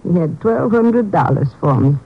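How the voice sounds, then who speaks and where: An actress playing an ashamed, destitute old widow in a radio crime drama, halting and despairing in plain folksy speech. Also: female voice, lowish voice, animated, breathy edge. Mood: subdued recounting. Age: older. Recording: muffled vintage radio drama recording.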